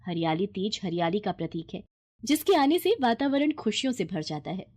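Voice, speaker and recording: A woman's voice: mid-range voice, big pitch swings, normal speed, darkish tone. A woman narrating a scripted bedtime moral story.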